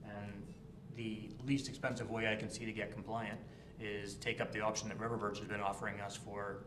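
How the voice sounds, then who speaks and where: A man councillor making a measured, step-by-step argument in a council meeting. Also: fairly low pitch, flat delivery. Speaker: town councillor. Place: echoey council chamber. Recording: echoey room with background noise.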